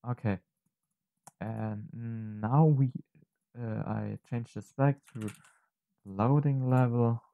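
A man narrating an unscripted software tutorial, thinking aloud haltingly and correcting himself as he goes. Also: medium pitch, steady tone, creaky, dry recording, phone-quality sound. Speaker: tutorial presenter.

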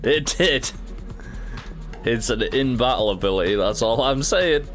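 A man's voice: mid-range voice, lively intonation, unhurried, a bit bright, soft background music. A man in his thirties hosting a casual gameplay stream, joking and laughing often while he has a cold. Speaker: gaming channel host.

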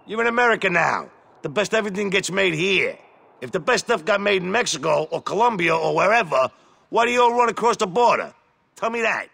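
A cocky, needling American man making a drug deal in a video game. His voice is very gravelly and forceful, ringing with long reverb. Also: sits high, big pitch swings, a little fast, slight background noise, heavily acted. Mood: cocky, mocking. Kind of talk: video game cutscene acting.

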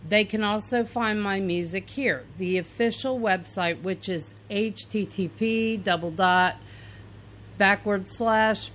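A woman's voice: medium pitch, slow, a bit bright, a little hiss or hum. A woman podcast host from Indiana, reading aloud both sides of an interview in a plain, earnest way with some fillers and restarts.

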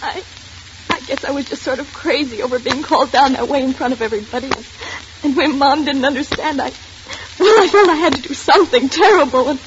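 A woman's voice: high-pitched, brisk, natural voice. An actress playing a sulky, distraught, self-dramatizing teenage schoolgirl in a 1940s radio drama. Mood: subdued, defensive. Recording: noisy, muffled 1940s radio recording.

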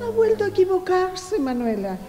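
A woman acting a haughty, high-voiced office boss. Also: big pitch swings, normal speed, music in the background. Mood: stern. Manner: haughty, sarcastic. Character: stern, scolding female office boss, sarcastic and authoritarian. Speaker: amateur radio theatre actor. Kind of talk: radio play acting. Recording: reverberant echoey room.

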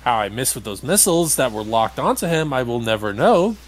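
A man's voice: medium pitch, sing-song, fairly quick. A man giving casual, wry let's play commentary as he hosts a playthrough. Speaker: gamer hosting a playthrough.

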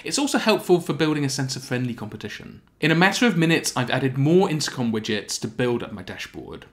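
A man in his thirties presents a scripted software tutorial. His voice sits at a medium pitch and moves with lively, varied intonation. He explains step by step, leaning on key words with deliberate emphasis. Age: 30s. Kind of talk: scripted explainer narration to camera.

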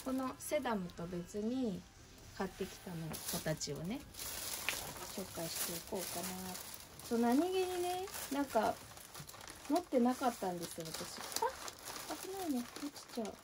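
A woman's voice: a little high, lively intonation, unhurried, noisy background. A middle-aged woman chatting casually as she shows plants to the camera in her home entryway. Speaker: succulent channel vlogger. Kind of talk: casual chat. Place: indoor entryway with a shelf.

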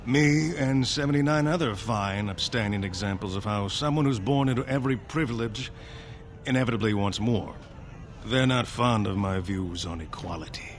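A man's voice: fairly low pitch, moderate pace, slight background noise, close to an everyday voice. A man playing a wry, self-mocking dwarf lord. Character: sardonic rebellious male dwarf noble. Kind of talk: video game dialogue.

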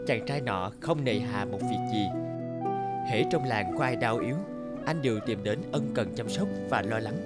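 Guy narrating an old folk story, scripted, loud music under him. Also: on the low side, moderate pace. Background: loud instrumental music.

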